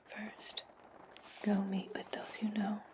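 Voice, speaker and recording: Casting: female performer for a domineering witch, breathy whisper, slow and coldly menacing, ASMR fantasy roleplay. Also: mid-range voice, monotone, lightly acted. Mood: cold, disdainful. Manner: slow, commanding, coldly threatening. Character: menacing, domineering witch commanding an indebted servant.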